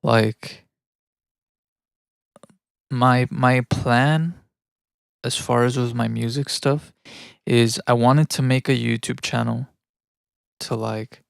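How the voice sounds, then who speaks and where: A man's voice: medium pitch, steady tone, takes it slowly, creaky, pauses often, clear, resonant tone, no room sound, clean studio sound. A man from Mexico living in the United States chats casually and thinks aloud in a rambling, self-reflective way while producing music on a livestream. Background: electronic music.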